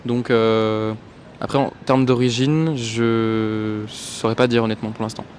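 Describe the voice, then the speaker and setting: A man's voice: medium pitch, little pitch movement, unhurried, darkish tone, slight background noise. A man from France answering a street interviewer outdoors, hesitant and searching for words.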